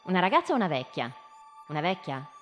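A nosy female teacher, lowish voice, quizzing kids in a radio play, faint music. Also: big pitch swings, fast. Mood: curious, insistent. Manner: probing, short repeated questions. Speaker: actress leading a live radio drama. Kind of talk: live radio theatre, questioning children.

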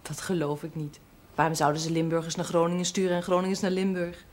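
A woman's voice: on the low side, monotone, fast talker, lightly acted. An actress playing a kind, sensible young woman, practical and reassuring, in a television period drama. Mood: gentle, reassuring.

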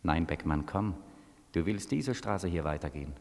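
An actor playing a mysterious, persistent male alter ego in a 1940s radio play, calm, firm and insistent. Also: deep voice, moderate pace.

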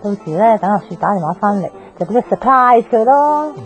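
A woman's voice: on the high side, lively intonation, a little fast, low-quality recording. A woman playing a blunt, teasing, nosy young woman in a radio drama, with slangy banter and a Hong Kong accent. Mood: bantering, bustling. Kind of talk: 1990s radio drama acting. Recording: radio drama with music underneath.

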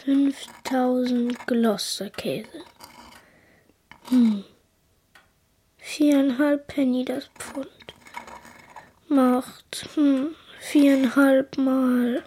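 A woman playing an earnest, timid young Victorian schoolboy in a German radio play, speaking carefully and haltingly. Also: high voice, steady tone, dark tone, a little hiss or hum. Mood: hesitant. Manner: careful, halting recitation and pleading.

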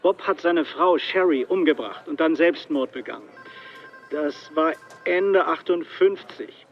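Highish male caller on dull phone line. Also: a little slow, faint music behind. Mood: reporting. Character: male tipster phoning a crime show hotline. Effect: telephone filter.

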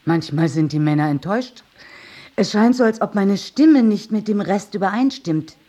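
A woman's voice: mid-range voice, animated, normal speed, warm tone, close to an everyday voice. An actress in a radio drama playing an eccentric, chatty older lady neighbour with a rambling, dreamy manner. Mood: wistful. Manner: rambling, quirky.

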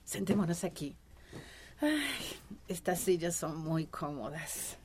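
A woman's voice: lowish voice, sing-song, slow, background noise, heavily acted. An actress playing a hospitable woman herbalist in a radio drama, chatty and welcoming. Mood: warm, welcoming.

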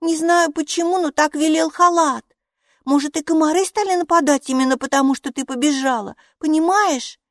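A woman voicing a brave, bossy little girl in an audiobook, speaking in a high, exclaiming child voice. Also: moderate pace, no room sound, studio quality, lightly acted. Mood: coaxing. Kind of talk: audiobook character reading.

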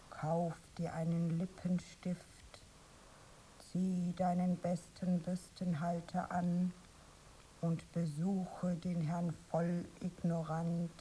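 A woman playing a young aspiring actress in a radio play, dreamy, wistful and proud, with breathy theatrical musing. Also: sits low, mellow, slight background noise, low-quality recording. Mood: solemn, playful. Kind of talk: radio play monologue and dialogue.

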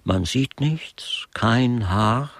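An actor in a German radio crime comedy, playing a dry, inquisitive artist who explains things pedantically. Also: male voice, medium pitch, drawn-out words, no room sound. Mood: dry, pedantic. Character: dry, inquisitive male artist, knowing about art.

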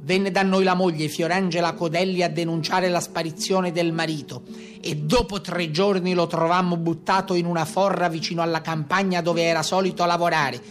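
A man playing a stern carabiniere who gives a formal, deliberate, declamatory account of brigands in a stage monologue. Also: high voice, steady tone, faint music behind, pitched above the performer's own voice, close to an everyday voice. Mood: formal reporting. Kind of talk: theatrical monologue storytelling.

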